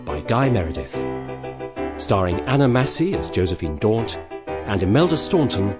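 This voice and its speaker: A man's voice: fairly low pitch, big pitch swings, normal speed. A man reading a scripted title and cast announcement for a radio drama. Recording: loud piano music behind. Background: piano music.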